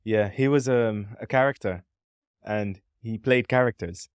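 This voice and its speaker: Low-voiced, musing podcast co-host. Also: male voice, a little slow, clear, resonant tone, no echo, clean studio sound. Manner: thoughtful, rambling with many "um"s. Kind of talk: casual podcast conversation.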